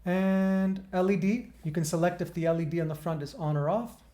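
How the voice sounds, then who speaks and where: A man with a deep, bassy voice hosting a casual gear review, chatty and upbeat as he walks through features step by step. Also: steady tone, fairly quick, warm tone. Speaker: gear reviewer and channel host.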